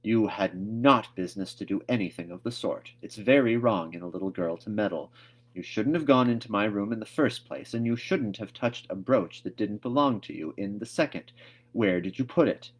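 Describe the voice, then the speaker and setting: A man reads the part of a strict woman guardian from a storybook. The voice sits low, and the words come firm, clipped and scolding, with no nonsense about them. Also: moderate pace, close to an everyday voice. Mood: stern.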